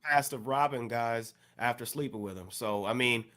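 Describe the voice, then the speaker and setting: A man talking as a podcast co-host, explaining things carefully and haltingly in a casual panel discussion. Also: medium pitch, sharp tone.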